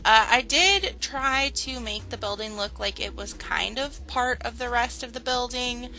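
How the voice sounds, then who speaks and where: Chatty young woman, slightly croaky. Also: a little high, measured pace, bright, forceful tone, faint music behind, rough recording. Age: young adult. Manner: chatty, many fillers and "like"s. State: slightly hoarse from a cold. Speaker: Sims builder and channel host. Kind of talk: casual speed-build commentary.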